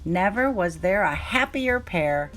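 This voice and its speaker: A woman's voice: mid-range voice, animated, measured pace, a bit bright. A woman reading a children's story aloud as narrator.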